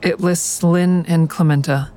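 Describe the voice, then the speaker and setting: A woman's voice: on the low side, fairly even intonation, measured pace, dark tone, close to an everyday voice. An actress playing a guilt-laden, wary woman in danger in an audio drama, speaking in an earnest, confiding way. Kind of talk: full-cast audio drama acting and inner narration.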